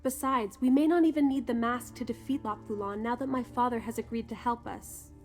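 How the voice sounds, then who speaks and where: An actress plays a young woman fighter in a video game. Her voice sits fairly high, with an earnest, eager and conversational delivery. Faint music plays underneath. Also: fairly even intonation, a little fast, darkish tone, close to an everyday voice. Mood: impressed, hopeful. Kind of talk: scripted video game character dialogue.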